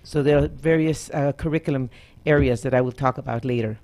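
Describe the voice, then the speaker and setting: A woman's voice: a bass voice, brisk, a little mellow. A woman from Costa Rica who trains life coaches, a guest on a talk show, speaking in a confident, upbeat way. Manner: confident, upbeat, laughs easily. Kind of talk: talk show guest explaining her work.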